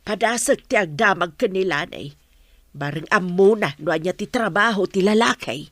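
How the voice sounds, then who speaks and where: A woman playing an exasperated village wife in a radio drama, complaining and sharp-tongued. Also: mid-range voice, animated, normal speed, dry recording. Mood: scolding, insistent.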